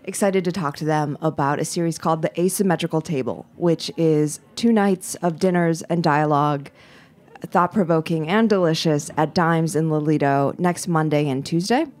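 Low-voiced woman co-hosting a chat. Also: moderate pace, a little mellow. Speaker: podcast co-host. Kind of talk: casual chat.

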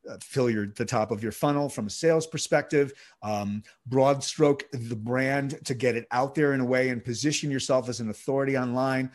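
A man being interviewed, explaining his work in measured, expansive sentences with frequent fillers. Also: mid-range voice, a little mellow. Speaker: podcast and audiobook producer. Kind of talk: interview guest, conversational explaining.

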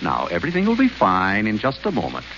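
A dry-witted gentleman butler, lowish voice, very lively pitch, patient and amused, muffled old radio hiss. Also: fast. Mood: coaxing. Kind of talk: 1930s radio acting.

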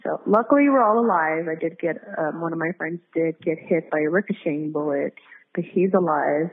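A woman's voice: sits low, a little fast, slightly rough. A middle-aged American woman talking casually as an interview guest, candid and reflective, circling back to rephrase as she tells her story. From San Diego, United States. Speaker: guest, community activist. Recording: tinny phone-like audio.

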